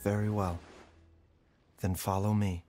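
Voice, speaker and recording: A man voicing a calm, grave physician in a fantasy game, speaking in a measured, formal way over music. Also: fairly low pitch, close to an everyday voice. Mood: grave, composed.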